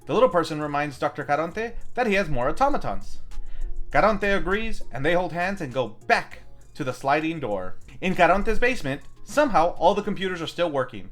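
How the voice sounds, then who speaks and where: A man's voice: on the high side, big pitch swings, a little fast, fairly bright tone, faint music behind. A man hosting a film review, reading scripted narration with playful, wry asides. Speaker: host of a film review show.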